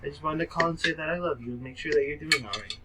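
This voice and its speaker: A man's voice: on the high side, very fast, heavy background noise, close to an everyday voice. A man voicing a doting, affectionate mother who worries about her son on the phone. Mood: fussing.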